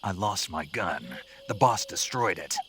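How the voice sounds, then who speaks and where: A man playing a tough, intense special-forces soldier with a gruff voice in a video game cutscene. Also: deep voice, animated, a little slow, bright, forceful tone, full character voice. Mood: urgent, bitter. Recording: clear background noise, outdoor night insects.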